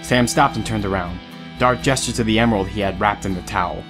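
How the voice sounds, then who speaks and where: Low-voiced male story narrator. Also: fast, over music.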